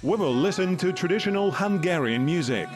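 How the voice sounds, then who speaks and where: A man reading a scripted voice-over for a television documentary in an even, measured way. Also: high voice. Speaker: English voice-over narrator. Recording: music playing under the voice.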